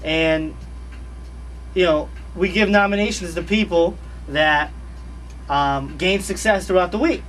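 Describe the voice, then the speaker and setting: Brief: high-pitched man, radio guest, slangy and repetitive, a little off-mic. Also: measured pace, long pauses, distant mic, low-quality recording. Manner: laid-back, animated, slangy, repeats phrases. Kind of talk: casual radio interview chat. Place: radio studio.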